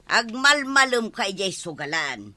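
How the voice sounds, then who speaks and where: A woman's voice: medium pitch, big pitch swings, unhurried, bright, forceful tone, dry recording. A woman playing a feisty, scolding village watchwoman in an Ilocano radio comedy drama, with an Ilocano accent.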